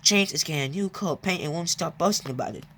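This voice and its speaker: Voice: mid-range voice, quick pace, fairly bright tone. A reader voicing a small, cheeky male tank engine in a children's storybook, complaining in an informal way. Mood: griping.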